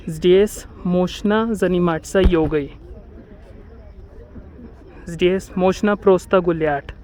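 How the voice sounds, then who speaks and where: A man's voice: high voice, fairly even intonation, slow, darkish tone, poor audio. A man from India, narrating a walking tour outdoors in a lakeside park, careful and dreamy, often correcting himself. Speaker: travel vlogger.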